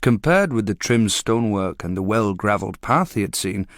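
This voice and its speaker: Low-voiced man narrating quietly. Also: animated, moderate pace, no room sound, clean studio sound. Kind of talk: scripted audiobook narration.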